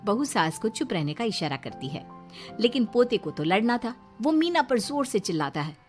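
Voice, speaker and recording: A woman's voice: a little high, very animated, normal speed, faint music behind. A woman working as a narrator, reading a scripted story for a cartoon.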